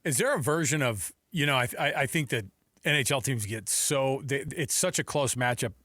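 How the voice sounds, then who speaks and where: A man's voice: mid-range voice, expressive, normal speed, pushed and bright, dry recording. A man hosting a sports radio show, chatting casually in a studio.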